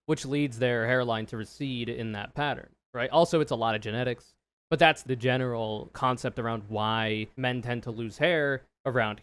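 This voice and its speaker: A man with a low voice giving casual, opinionated reaction commentary, swearing freely and explaining in loose run-on sentences. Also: fairly bright tone, no echo. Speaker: streamer reacting to a video.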